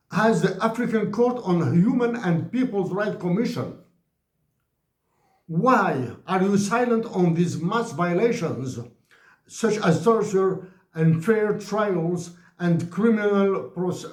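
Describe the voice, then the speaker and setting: A man from Algeria giving an impassioned political address to officials, speaking slowly and deliberately with frequent restarts. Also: high voice, darkish tone, echoey room.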